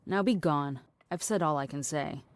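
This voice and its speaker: Woman playing a deadpan, low-voiced cynic. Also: moderate pace, no room sound, lightly acted. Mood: dismissive. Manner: deadpan, wordy, dismissive. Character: cynical, blunt, philosophising woman in a trench coat. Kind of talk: audio drama acting.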